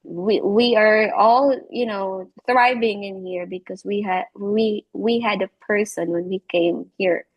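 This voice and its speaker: A woman's voice: medium pitch, steady tone, a little slow, hardly any pauses, dull audio. A woman with a Filipino accent chatting casually on a video call, rambling and repeating herself with lots of filler words. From Philippines. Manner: rambling, repetitive, full of "like" and "you know". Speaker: teacher and interview guest.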